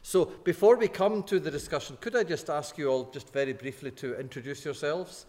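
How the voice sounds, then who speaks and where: A man's voice: a little high, brisk, a little mellow. A man moderating a church panel discussion, genial and joking, often restarting his phrases. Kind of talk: hosting a church discussion evening.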